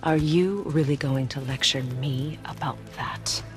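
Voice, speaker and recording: A woman's voice: a bass voice, measured pace. Proud witch goddess in a game, firm and dry, kinda weary, used to giving orders, light music under. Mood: cold, proud. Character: proud, guarded witch goddess, protective and commanding. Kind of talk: cinematic video game acting.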